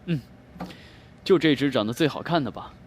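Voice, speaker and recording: A man playing a gentle, polite young man who muses softly to himself in an audio drama. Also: mid-range voice, animated, moderate pace, a little hiss or hum, lightly acted. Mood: relaxed, pondering. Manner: soft, musing to himself. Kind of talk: Chinese audio drama acting. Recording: music behind the voice.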